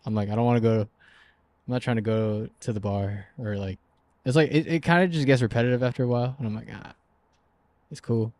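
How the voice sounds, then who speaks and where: A man in his twenties co-hosting a podcast, talking in a relaxed, slangy way and laughing often. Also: mid-range voice, brisk, no echo. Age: 20s. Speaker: podcast co-host. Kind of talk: casual chat.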